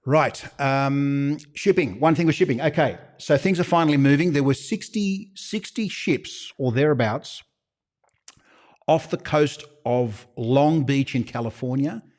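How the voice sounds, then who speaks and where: Aussie guy rambling through a live q&a for his shop, mid-pitched, throws in jokey hush-hush asides. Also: unhurried. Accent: Australian. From Australia. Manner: chatty, rambling, with conspiratorial joking asides. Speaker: shop owner hosting a live Q&A. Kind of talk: casual livestream Q&A.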